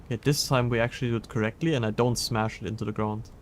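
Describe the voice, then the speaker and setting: A man's voice: mid-range voice, steady tone, fast, slight background noise. A man chatting casually while playing a game on a livestream. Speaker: livestream gamer. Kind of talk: casual game stream chat.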